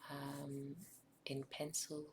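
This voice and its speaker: A South African woman whose first language is Afrikaans, narrating a craft project in a soft, often whispered ASMR style with frequent ums. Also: a bass voice, monotone, drawn-out words, background noise. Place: home craft table.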